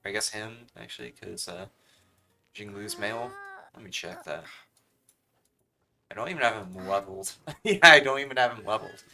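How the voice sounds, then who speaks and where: Low, gravelly young male streamer. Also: moderate pace, long pauses, sharp tone. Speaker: game streamer. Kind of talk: casual livestream commentary.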